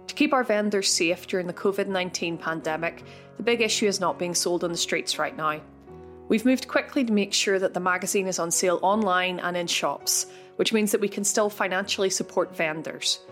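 A woman hosting a podcast, reading scripted narration in a measured way. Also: lowish voice. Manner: measured, read-aloud delivery. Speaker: podcast host. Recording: soft music underneath.

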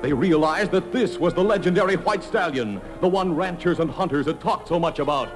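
A man's voice: fairly high pitch, animated, brisk, music in the background, poor audio. A man narrating an old radio western in a declamatory, dramatic announcer's storytelling style.

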